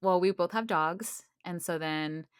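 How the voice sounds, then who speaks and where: A young woman co-hosts a podcast in easy, upbeat conversation and laughs easily. Her voice sits a little low for a woman. It is recorded close and dry. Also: measured pace, smooth, no echo. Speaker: podcast co-host. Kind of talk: casual chat.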